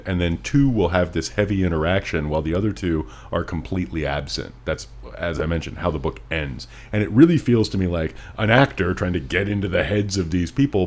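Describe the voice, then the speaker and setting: Very deep-voiced man chatting about books. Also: darkish tone. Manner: opinionated, wry, casually profane. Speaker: podcast co-host. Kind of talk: casual book review chat.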